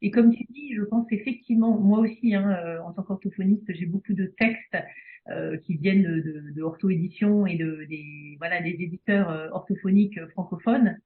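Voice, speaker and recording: A woman from Belgium, a speech therapist talking casually in an interview, hesitant with many fillers and restarts. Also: lowish voice. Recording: phone-like video call audio.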